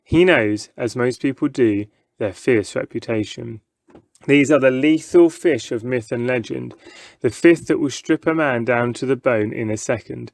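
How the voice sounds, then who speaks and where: A man's voice: medium pitch, unhurried, creaky, no room sound. A man narrating a children's book aloud from a script, with dramatic storytelling. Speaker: story reader.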